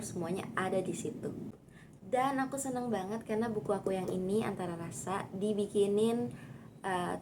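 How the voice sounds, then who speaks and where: A young woman author casually chatting about her own book in an upbeat, filler-heavy way. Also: lowish voice. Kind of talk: casual book talk vlog. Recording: audible background noise.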